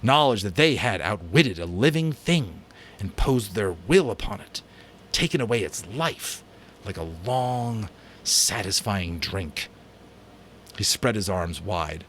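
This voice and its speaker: A man narrating a novel aloud in a dramatic audiobook style, measured and deliberate. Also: fairly low pitch, lively intonation, a bit bright, a little hiss or hum. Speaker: narrator and amateur voice actor.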